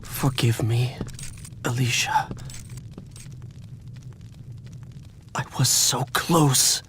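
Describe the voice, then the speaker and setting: A man's voice: mid-range voice, slow pace, fairly bright tone, whispered. A man playing a grave, remorseful mage in a fantasy video game, speaking in a solemn way. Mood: regretful, weak.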